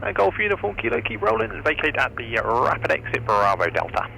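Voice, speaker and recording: A man's voice: a little high, wildly swooping pitch, fast, creaky, sharp tone. A man making clipped, procedural aviation calls over the radio. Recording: noisy aviation radio transmission.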